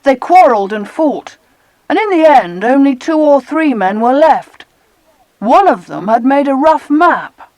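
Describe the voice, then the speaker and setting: A woman voicing well-spoken, eager British children in a dramatized adventure audiobook, with expressive storytelling. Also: sits high, measured pace, dry recording, rough recording.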